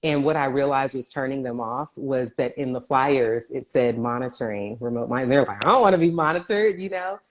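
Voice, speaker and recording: A woman's voice: extremely low pitch, normal speed, hardly any pauses, rough recording. A woman physical therapist talking earnestly as a guest in a casual live video interview. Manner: earnest, explanatory. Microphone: video call.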